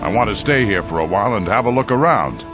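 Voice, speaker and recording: A man's voice: on the low side, expressive, fast, natural voice. A man playing a friendly, seasoned hunter in a video game, hearty and chummy in manner. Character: friendly seasoned male hunter, cheerfully bold fighter. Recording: loud music behind the voice.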